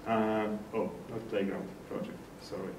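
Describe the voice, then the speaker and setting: A man from Poland with a Polish accent gives an informal technical presentation in slightly halting non-native English. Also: fairly low pitch, little pitch movement. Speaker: speaker at a developer meetup. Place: indoor meetup presentation room. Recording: echoey room, distant mic, faint noise.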